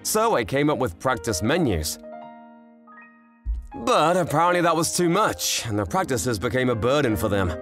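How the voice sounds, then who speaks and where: A man voicing a cocky, good-natured teenage boy in a manga dub, casual and expressive. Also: medium pitch, normal speed, soft background music. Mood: reflective. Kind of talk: manga dub narration and dialogue.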